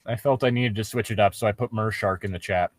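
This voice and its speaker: A man's voice: fairly low pitch, fairly even intonation, fast, dry recording. A man reading fan fiction aloud for laughs, with jokey, profane banter. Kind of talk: mocking comedic read-aloud and banter.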